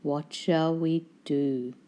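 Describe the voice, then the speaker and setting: A mild, gloomy lighthouse keeper from a storybook, acted out by a woman. He talks very slowly in a lowered voice, ponderous and formal with his words. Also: fairly even intonation, dark tone. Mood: glum. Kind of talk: children's storybook character voice.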